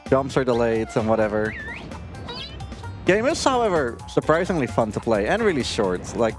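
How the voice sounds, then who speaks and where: A man giving casual, rambling live commentary as a speedrunner, halting at times while he plays. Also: medium pitch, big pitch swings, music in the background. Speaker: speedrunner commentating own run.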